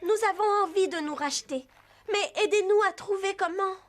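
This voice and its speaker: A woman's voice: extremely high pitch, normal speed. A woman playing a proud, slightly prissy teenage girl rider in a French-dubbed children's cartoon. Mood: contrite.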